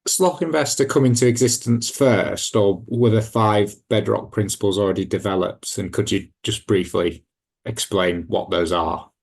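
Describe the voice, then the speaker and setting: A man hosting an investing podcast, putting measured questions with hedges and fillers. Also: on the low side, vocal fry, breathy edge. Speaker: podcast host, interviewer.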